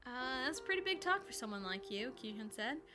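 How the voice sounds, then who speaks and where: A woman's voice: sits high, sing-song, normal speed. A woman casually reading a first-person story aloud on a live stream, informally, with ad-libbed asides and lightly voiced dialogue.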